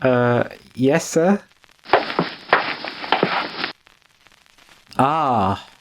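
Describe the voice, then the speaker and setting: A man's voice: medium pitch, lively intonation, very slow, very noisy. A man playing a deferential, uneasy manservant, polite and hesitant, in an old-time radio drama. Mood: nervous. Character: deferential, uneasy manservant following his master.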